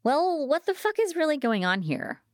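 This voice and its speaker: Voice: medium pitch, big pitch swings, unhurried, fairly bright tone, no room sound, clean studio sound. A woman voicing a nervous, vulnerable sixteen-year-old junior private who is easily exasperated, in an audiobook story.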